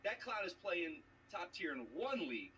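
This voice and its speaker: A man's voice: high voice, big pitch swings, normal speed, bright, forceful tone. A man trash-talking on a video call, boastful and mocking, with emphatic delivery. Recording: distant mic with clear background noise.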